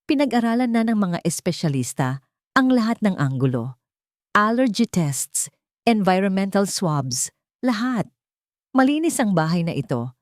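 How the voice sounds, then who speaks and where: A woman's voice: fairly low pitch, darkish tone, dry recording, studio quality. A Filipino woman voicing a tired, impatient male executive who is stern and dismissive. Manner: weary, curt.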